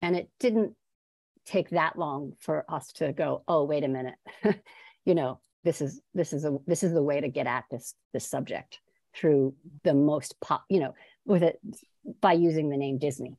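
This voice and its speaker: A middle-aged woman talks as a documentary-maker guest in an interview. Her voice is on the low side and the sound is dry, with an informal, slightly halting way of explaining things. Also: dark tone, no echo. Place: plain room at home, indoors. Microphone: wired earbuds on video call.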